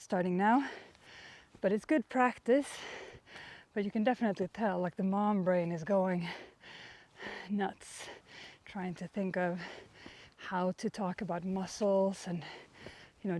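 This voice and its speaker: Woman, Swedish accent, workout instructor, out of breath, chatty, warm mellow tone. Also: mid-range voice. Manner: chatty, pauses to search for words. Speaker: fitness instructor. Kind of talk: workout instruction while exercising. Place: outdoors.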